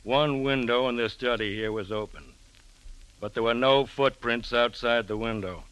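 A man's voice: mid-range voice, normal speed, a bit bright, clear, resonant tone, no echo, natural voice, poor audio. A man playing a skeptical police lieutenant in a 1940s radio drama, giving facts in a clipped, official way. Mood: dry, official. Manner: factual, clipped reporting. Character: matter-of-fact, skeptical male police lieutenant.

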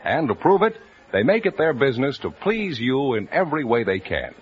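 A man announcing on a radio quiz show and reading sponsor ads in an emphatic, booming delivery. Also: sing-song, brisk, husky. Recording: dull old radio broadcast.

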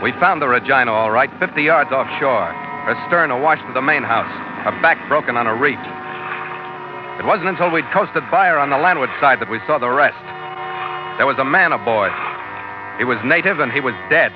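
An actor playing a brisk, tough sea captain who narrates a 1940s radio adventure in terse, clipped phrases. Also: male voice, mid-range voice, animated, natural voice. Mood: grim narration. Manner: clipped, terse, hardboiled delivery. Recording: dull vintage radio recording, loud music behind.

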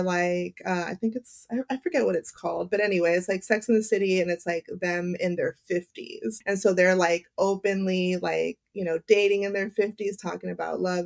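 A woman in her late thirties chats on her podcast, thinking out loud with plenty of fillers. Her voice has a gravelly, fry-laced edge at an ordinary middle pitch. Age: 30s. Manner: chatty, reflective, laughs often, many fillers. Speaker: podcast host. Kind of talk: casual chat.

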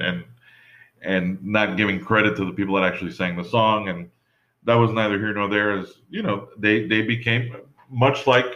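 A man's voice: lowish voice, fairly bright tone. A man in casual podcast chat who rambles, full of "you know" and restarts. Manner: rambling, many fillers and restarts. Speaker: podcast co-host. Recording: dull lo-fi sound.

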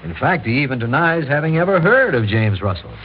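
A man's voice: mid-range voice, sing-song, a little fast, close to an everyday voice. An American man acting a principled, defiant lawyer in a mid-century radio drama, speaking formally and emphatically. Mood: formal, insistent. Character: Jay, principled, defiant lawyer confronting vigilantes. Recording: muffled old radio recording with faint noise.

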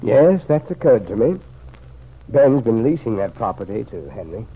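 Grave, gravelly man in old radio drama. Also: medium pitch, big pitch swings. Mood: somber. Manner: sighing, measured, earnest. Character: grave, regretful man, troubled family friend. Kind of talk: old-time radio serial acting. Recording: muffled, noisy old radio recording.

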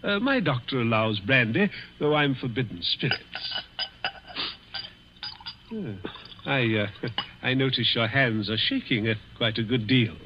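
An actor playing a gloating elderly villain with suave, mock-courteous, taunting manners, in 1940s radio suspense style. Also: male voice, medium pitch, lively intonation, moderate pace, noisy background, close to an everyday voice. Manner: suave, hesitant, chuckling politeness with a taunting edge. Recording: muffled 1940s radio recording.

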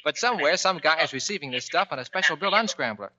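An actor playing a cheerful, eager young American sailor who tinkers with radio, in 1940s radio drama style. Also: male voice, a little high, lively intonation, quick pace, pushed and bright. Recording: dull old 1940s radio recording.

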